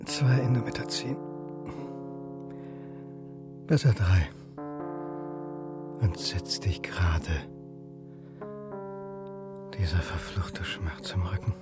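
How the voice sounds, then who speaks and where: An actor playing a dry, terse, self-deprecating history lecturer of about forty in a radio drama. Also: male voice, sits low, expressive, takes it slowly, pauses often, loud music behind the voice. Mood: self-loathing.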